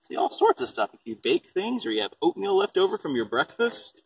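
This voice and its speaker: Guy doing read-aloud for a little kid, really lively up and down, mic kinda far off. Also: sits high, a little fast. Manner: simple explanations and questions aimed at a young child. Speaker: storybook reader and parent explaining composting. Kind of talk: picture-book read-aloud with casual asides to a small child. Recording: distant microphone, faint background noise.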